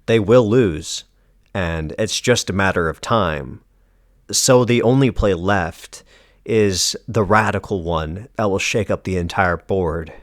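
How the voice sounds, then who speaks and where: Deep-voiced man narrating, dry studio sound. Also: slow pace, no room sound. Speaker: narrator recapping a comic story. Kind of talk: scripted dramatic narration.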